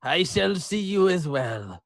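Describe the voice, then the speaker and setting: Man playing a very high, pompous young aristocrat. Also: big pitch swings, takes it slowly, creaky, no echo, heavily acted. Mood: prim. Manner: pompous, clipped formal phrasing, emphatic. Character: haughty, pleading young male aristocrat adventurer.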